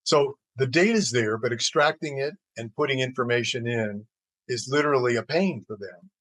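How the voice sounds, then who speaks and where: An older American man giving measured, explanatory answers as an interview guest, pausing as he forms his thoughts. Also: medium pitch, fairly bright tone, no room sound, rough recording. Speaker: interview guest, healthcare executive.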